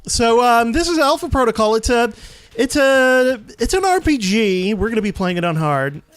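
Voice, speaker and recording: A man's voice: very high voice, very animated, moderate pace, fairly bright tone, clear, resonant tone, no room sound. A man giving casual gameplay commentary as a let's play host, explaining in a rambling, hesitant way. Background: game menu music.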